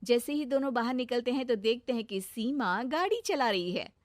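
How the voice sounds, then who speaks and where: Female narrator for animated moral tales, fairly high voice, scripted delivery, dry close recording. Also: moderate pace, no room sound.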